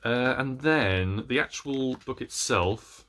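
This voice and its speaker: A man's voice: medium pitch, takes it slowly, fairly bright tone. A man in his thirties chatting casually about books to camera, hesitant and rambling, often breaking off mid-sentence. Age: 30s. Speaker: book reviewer vlogger. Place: small indoor room with bookshelves.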